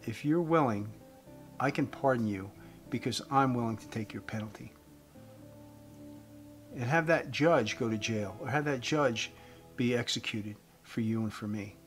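A man in his fifties giving an earnest evangelistic talk to camera as a host, phrasing deliberately with emphasis. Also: medium pitch. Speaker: host giving a faith message. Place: indoors, room with wooden beams. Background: guitar music.

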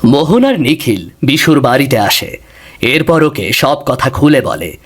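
A man's voice: medium pitch, animated, a little slow. A man narrating a scripted fairy tale as storyteller.

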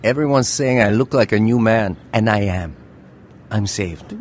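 An actor playing a gentle, born-again young former boxer in a radio drama. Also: male voice, lowish voice, measured pace, slight background noise, lightly acted. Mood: warm, glad. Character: reformed young ex-boxer, gentle, born-again suitor narrating his life.

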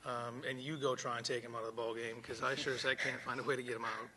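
A man's voice: medium pitch, level tone, fast talker, crowd noise. A middle-aged man coaching college baseball, giving blunt postgame answers at a press conference table mic, often repeating words as he starts. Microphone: table microphone.

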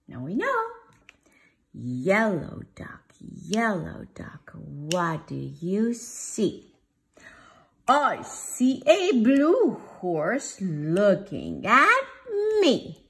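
A woman reads a children's storybook as a preschool teacher would. Her pace is extremely slow, with drawn-out, sing-song phrases. Her medium-pitched voice rises and falls in an animated way for small listeners. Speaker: preschool teacher reading aloud to class. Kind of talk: children's storybook read-aloud. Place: home dining room, indoors.

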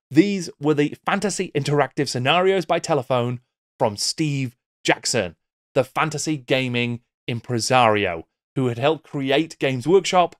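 A man's voice: mid-range voice, no room sound, studio quality. A middle-aged British man presenting a scripted video essay with wry, theatrical emphasis. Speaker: YouTube host. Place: small book-lined home room. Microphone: close desk microphone with pop filter.

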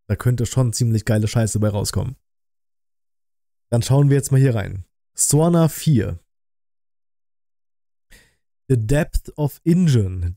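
A man in his thirties with a very dark, mellow voice of middling pitch. He comments casually as a reaction host, using colloquial, slangy wording. The sound is close and dry. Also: dry recording.

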